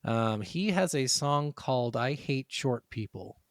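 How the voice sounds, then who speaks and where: A man's voice: medium pitch, animated, measured pace, no room sound. Joking, profane man podcasting with dry close sound. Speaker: podcast co-host. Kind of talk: casual banter about video games.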